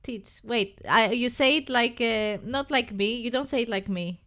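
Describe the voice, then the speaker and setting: A young woman with a Greek accent, hosting a relaxed live stream and chatting playfully with viewers while she works on a website. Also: on the high side, normal speed. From Greece. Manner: chatty and playful, talks to chat viewers by name, thinks aloud while working. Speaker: livestream host.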